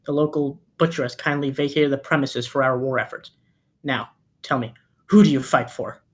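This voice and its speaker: A male actor plays a gruff army sergeant in a film table read. He is commanding and bullying, barking at conscripts in a mid-pitched voice. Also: fairly even intonation, normal speed, lightly acted. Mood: stern, commanding.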